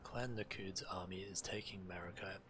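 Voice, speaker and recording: A man streaming a video game, reading quest text aloud in a theatrical, deliberate way. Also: sits low, fairly even intonation, crowd noise. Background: faint game music.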